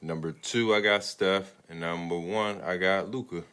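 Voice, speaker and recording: Low voiced guy on a sports pod, just casual chat with the crew. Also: fairly even intonation, normal speed, noisy background. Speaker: sports podcast panelist.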